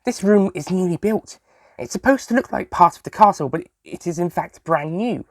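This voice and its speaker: Eager young female companion, observant and matter-of-fact, lively pitch, audio drama; played by a man. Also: moderate pace, no room sound. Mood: explanatory.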